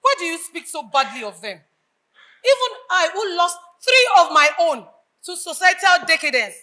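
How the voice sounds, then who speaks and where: A woman with a Nigerian accent playing a grieving, idealistic reformer in a live stage drama, declaiming in measured oratorical phrases. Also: extremely high pitch, very animated, sharp tone, heavily acted. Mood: pained, reproachful.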